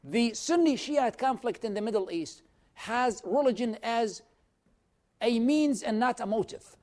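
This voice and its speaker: A man from Iraq with a high voice teaches a church class. He explains step by step and answers questions from the room directly. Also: animated, a little slow, a little mellow. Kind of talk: lecture with audience questions.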